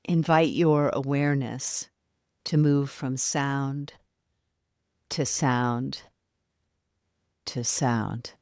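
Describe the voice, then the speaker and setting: A woman leading a guided yoga nidra relaxation in a soft, soothing manner, leaving long pauses between short phrases. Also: extremely low pitch, steady tone, no echo, studio quality.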